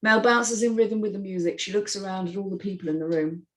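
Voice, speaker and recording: A woman's voice: medium pitch, a little fast, fairly bright tone, echoey room. A woman narrator reading screenplay stage directions in a scripted style.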